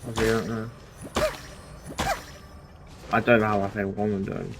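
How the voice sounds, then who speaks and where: Rambling male gamer with a creaky voice. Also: on the low side, little pitch movement, moderate pace, background noise. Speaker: gamer playing for an audience. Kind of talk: casual gameplay commentary.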